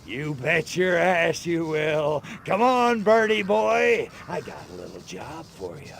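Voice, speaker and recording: Gravelly, sardonic alien invader. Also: male voice, on the high side, slow, heavily acted. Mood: menacing. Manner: deadpan, sarcastic. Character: sardonic, gruff little male alien invader. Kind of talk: video game cutscene acting.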